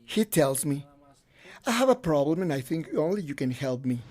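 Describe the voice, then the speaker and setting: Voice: mid-range voice, very animated, normal speed, a little mellow. A voice-over translator reading someone's personal testimony in English, in the first person.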